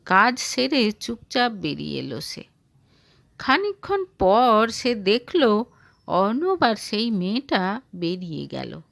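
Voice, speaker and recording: A woman narrating a romantic story in an expressive, emotive reading style. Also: medium pitch, takes it slowly, vocal fry, no room sound.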